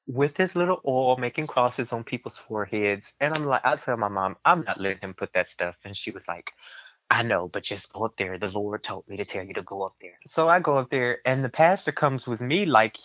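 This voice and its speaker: A man's voice: mid-range voice, a little fast, a bit bright, no echo. A young man from Mississippi telling candid personal stories as an interview guest, with hesitant starts and acted-out dialogue, on lo-fi audio. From Mississippi, southern US. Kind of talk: candid personal storytelling in conversation.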